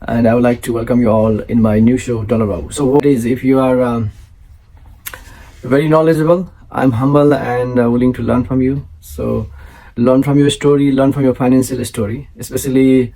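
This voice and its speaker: Gravelly-voiced man hosting. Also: medium pitch, flat delivery, dark tone, low-quality recording. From South Asia. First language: Maithili. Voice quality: gravelly, husky. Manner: halting, many fillers and restarts. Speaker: host. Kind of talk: solo show introduction.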